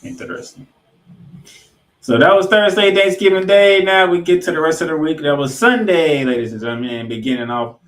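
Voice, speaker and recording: A man's voice: a little high, fairly quick, fairly bright tone. A man co-hosting a sports podcast, giving football recaps with emphatic, announcer-like delivery of scores and stats mixed with casual banter.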